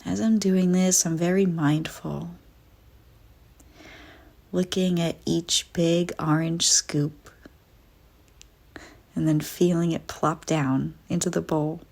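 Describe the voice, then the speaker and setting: A woman narrating a scripted guided visualization in a soft, soothing, deliberate way with frequent pauses. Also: lowish voice, fairly even intonation, gravelly.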